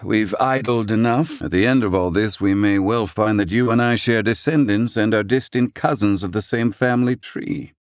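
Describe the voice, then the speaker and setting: A man's voice: on the low side, fairly even intonation, moderate pace, hardly any pauses, no echo, clean studio sound, close to an everyday voice. An actor playing a proud, sardonic old male scholar and healer in a video game, speaking in formal, archaic phrasing. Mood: wry, relaxed. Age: older. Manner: haughty, formal archaic diction.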